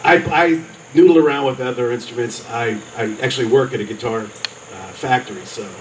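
A man's voice: medium pitch, fairly quick. A wry man in his forties who answers with a joke close at hand. He sounds a little distant on an outdoor stage, with faint open-air noise behind him. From Cincinnati. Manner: wry, joking. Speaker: songwriter contestant.

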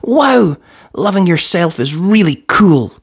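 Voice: medium pitch, very animated, slow, husky, pitched above the performer's own voice, exaggerated voice. A storybook narrator voicing an earnest, kind five-year-old superhero girl, sincere and eager. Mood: excited, proud.

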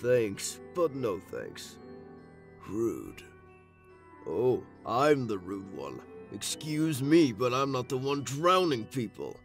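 A man's voice: mid-range voice, big pitch swings, slow. A man voicing a weary, sardonic skeleton in a comic dub, casual, sarcastic and defiant. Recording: faint music behind.

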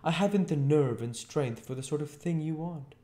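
Man acting a high, whining, frightened tramp. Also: normal speed, warm tone, natural voice. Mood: miserable pleading. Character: fretful, whining, frightened tramp near tears. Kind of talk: audiobook character voice. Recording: echoey room.

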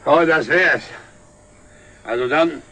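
An actor playing a genial, hurried company boss in a 1960s TV drama. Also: male voice, on the high side, bright, forceful tone, faint music behind, heavily acted. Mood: brisk. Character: genial, hurried company director driving a truck. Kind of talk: 1960s German TV drama acting. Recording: old TV film, faint noise.

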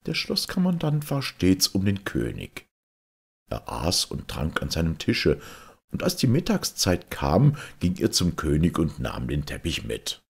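A male storyteller reads a fairy tale in a strikingly husky, gravelly voice. He takes his time over the phrases and gives the scripted narration an expressive, dramatic shape. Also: low voice, unhurried. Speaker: storyteller reading fairy tales aloud.

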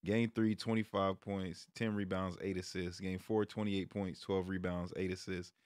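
A man's voice: low voice, level tone, normal speed, a little mellow, clean tone, dry recording, clean studio sound. An American man in his thirties chatting casually about sports to camera in a laid-back, slangy way. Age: 30s. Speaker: streamer and sports vlog host. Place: small room at home, indoors. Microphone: close microphone on a stand, headset on.

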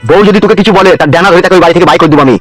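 A man's voice: high-pitched, rapid-fire, natural voice. A man playing a brash politician in a comedy cartoon who coaxes his new bride with wheedling, boastful, crude talk. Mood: indignant, boastful. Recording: music behind.

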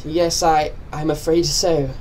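A man's voice: fairly high pitch, little pitch movement, takes it slowly, darkish tone, rough recording. A man acts out a timid, well-bred British brother in a radio comedy. He is devoted, apologetic and hesitant, picking his way politely through sentences. There is slight noise on the recording. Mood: sheepish.

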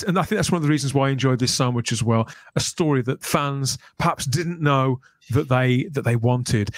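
A man's voice: fairly high pitch, no room sound. A middle-aged man casually hosting a livestream chat from a small room on a close microphone. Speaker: podcast host. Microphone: close desk microphone.

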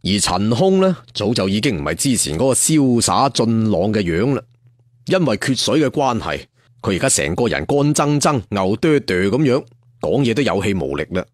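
A man's voice: on the low side, very animated, normal speed, no echo, studio quality. A man telling a serial story in a theatrical, colloquial traditional storyteller style. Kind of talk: dramatic serial storytelling narration.

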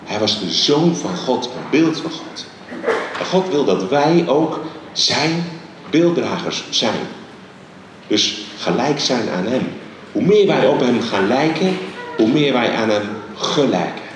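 Middle-aged male preacher, emphatic and pausing, handheld mic in a big reverberant church hall. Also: mid-range voice, recorded from a distance, background noise. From Netherlands. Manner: animated, emphatic preaching with many pauses. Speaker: guest preacher. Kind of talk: sermon. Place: large dark church hall stage. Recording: very echoey large hall.

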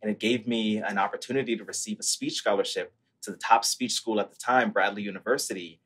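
A man's voice: on the low side, steady tone, fast talker, fairly bright tone, breathy edge, rough recording. A young man in his twenties, originally from Brazil, talking earnestly and articulately about his life as a founder in an interview over a video call from home. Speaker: startup founder, guest. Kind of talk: interview storytelling. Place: living room, at home. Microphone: earphone headset mic on video call.

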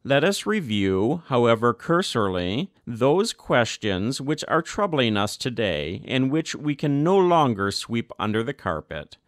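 A man giving a measured, earnest audiobook reading of a formal church document. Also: medium pitch, big pitch swings, no echo, clean studio sound.

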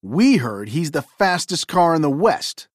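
A woman's voice: deep voice, sing-song, unhurried, breathy edge, dry recording, clean studio sound, full character voice. A woman voicing a brash, challenging male off-road racing car, leader of a pack, in a storybook.

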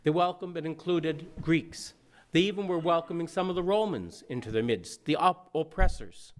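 A minister in his sixties giving a storytelling sermon in a church, folksy, now and then singing a line. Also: on the high side. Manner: folksy, breaks into song. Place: church sanctuary.

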